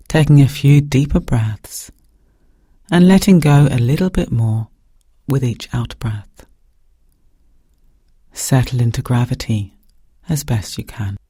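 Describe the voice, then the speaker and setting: A man with a soft, breathy voice guiding a meditation in calm, gentle, measured phrases with pauses. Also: mid-range voice, no room sound, clean studio sound. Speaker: meditation guide. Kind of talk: guided meditation narration.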